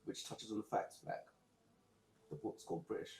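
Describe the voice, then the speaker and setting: Mellow-voiced man in an echoey room. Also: lowish voice, vocal fry, a little hiss or hum. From Zimbabwe. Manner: relaxed, halting with repeated restarts. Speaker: podcast host. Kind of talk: casual book discussion.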